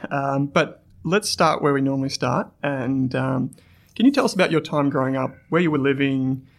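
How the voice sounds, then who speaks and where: A man's voice: mid-range voice, normal speed, vocal fry. An Australian man hosting an investing podcast, reading an ad and then telling a story to his guest in a chatty way with fillers. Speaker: podcast host. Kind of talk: podcast interview with read ad.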